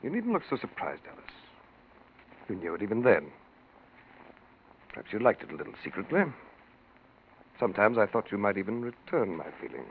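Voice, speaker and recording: An actor playing a bitter, possessive, lovesick brother-in-law with intense 1940s radio melodrama delivery. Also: male voice, lowish voice, big pitch swings, fairly quick, long pauses. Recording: tinny, noisy 1940s radio recording.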